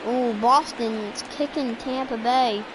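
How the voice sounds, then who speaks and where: A playful woman streamer drawls slowly in a fairly high voice, trash-talking over her game. The audio comes through a noisy home stream. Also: steady tone. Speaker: game player. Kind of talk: casual live-stream chatter.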